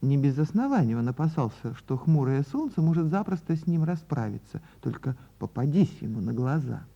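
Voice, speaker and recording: A woman narrates a fairy tale in the manner of a radio theatre actress. Her husky voice rises and falls widely, lingering on words with dramatic pauses. The recording is slightly dull, as from older radio. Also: rumbling low, sing-song, warm tone. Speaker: actress reading a story.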